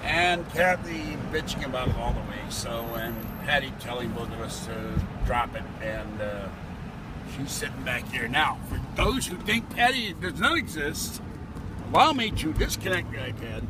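Rambling, joking man vlogging from a car, lively pitch, heavy traffic noise. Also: moderate pace. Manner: chatty, joking, teasing, laughs often. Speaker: vlog host. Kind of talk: rambling casual car vlog. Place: inside a car on a traffic-jammed bridge. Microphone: phone camera held in the car. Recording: loud road noise from traffic.

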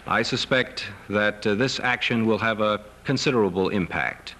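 A middle-aged American man giving a formal, measured press statement. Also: lowish voice, bright, forceful tone. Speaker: clergyman, seminary head speaking to press. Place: wood-paneled press conference room. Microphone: table microphones. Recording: noisy 1970s newsreel film sound.

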